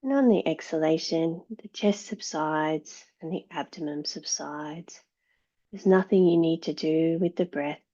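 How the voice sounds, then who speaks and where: A woman yoga teacher with a lowish voice guides a meditation at an unhurried pace. Her delivery is calm and soothing, leaving long pauses between short phrases. The recording is a little dull and muffled.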